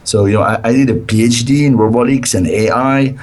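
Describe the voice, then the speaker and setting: A middle-aged man, a game developer sounding tired and a bit hoarse, speaking hesitantly with many fillers. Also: mid-range voice, a little fast, a little mellow. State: tired, nearly lost his voice. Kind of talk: casual interview over a call.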